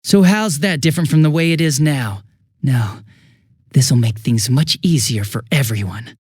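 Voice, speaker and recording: An American man playing a cynical, bitter young villain in a video game, with a husky voice and a flippant, contemptuous manner. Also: mid-range voice, moderate pace, a little mellow, no echo, clean studio sound, lightly acted. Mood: mocking, jaded. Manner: flippant, mocking, contemptuous drawl. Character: cynical, bitter, sneering young male villain turning unhinged.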